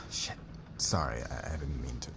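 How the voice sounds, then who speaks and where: A man acting a demanding, curt fashion boss who gets flustered by attraction, in an ASMR roleplay with clipped orders and hesitant pauses. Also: extremely low pitch, fairly even intonation, gravelly, background noise, heavily acted. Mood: awkward, hushed.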